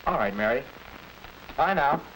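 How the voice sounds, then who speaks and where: A man's voice: medium pitch, animated, measured pace, natural voice. A young man playing a breezy, self-assured American older brother in his teens, casual, in a 1940s instructional film. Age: teenager. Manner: casual, confident. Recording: old film soundtrack, hissy and somewhat distant.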